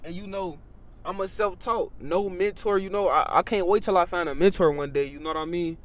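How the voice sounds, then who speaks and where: A man's voice: high voice, brisk. An eighteen-year-old man, talking animatedly in a casual podcast chat, tagging lines with "bro" and "you know what I mean".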